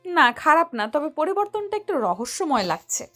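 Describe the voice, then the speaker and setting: অভিনয়ে এক নারী চড়া গলার শাসনপ্রিয় তরুণী আপু. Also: female voice, sits high, very animated, normal speed, pushed and bright, faint music behind, heavily acted. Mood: curious, teasing. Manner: scolding, bossy, teasing. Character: stern, teasing older-sister-like young woman tutor. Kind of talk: audio romance story acting.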